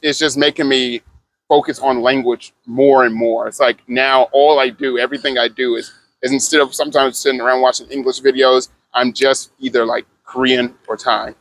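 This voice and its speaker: A man's voice: mid-range voice, fairly bright tone, rough recording. A man talking casually in a rambling vlog about learning languages, slangy and upbeat, with lots of fillers and restarts. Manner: loose and conversational, lots of fillers and self-corrections.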